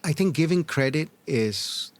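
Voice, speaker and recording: A middle-aged man answering interview questions as an experienced business leader, in measured, earnest, short deliberate phrases. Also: on the high side, lively intonation. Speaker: entrepreneur and former corporate leader, interview guest. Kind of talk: reflective conversational interview answers.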